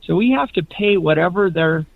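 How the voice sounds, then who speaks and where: A man's voice: sits high, lively intonation, moderate pace, vocal fry, crowd noise. A man calling in to a radio show, earnestly making a rambling point. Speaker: caller. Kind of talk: call-in radio comment. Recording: dry tinny phone line.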